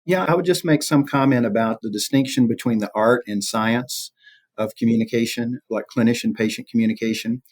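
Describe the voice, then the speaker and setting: A man's voice: medium pitch, fairly quick. A man speaking as an expert interview guest, explaining things in a conversational, reflective way and rephrasing as he goes.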